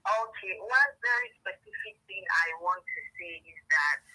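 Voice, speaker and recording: A woman's voice: mid-range voice, expressive, normal speed, bright, forceful tone, husky. A woman with a Nigerian accent answering as a police spokesperson in a radio interview over a phone line, earnest and instructive, repeating points for emphasis. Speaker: police public relations officer, interview guest.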